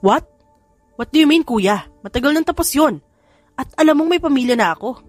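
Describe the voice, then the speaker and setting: A woman's voice: sits high, lively intonation, moderate pace, no room sound, voice pushed up. A woman with a Filipino accent playing a devoted, earnest husband and father in an audiobook. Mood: tense. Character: devoted adult family man, tender and apologetic. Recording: background music under the voice.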